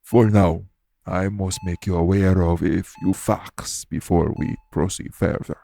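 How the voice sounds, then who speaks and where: A man playing a calm, formal official of a secret organization in an audio roleplay, speaking in a measured, matter-of-fact way to the listener. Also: sits low, vocal fry, noisy background.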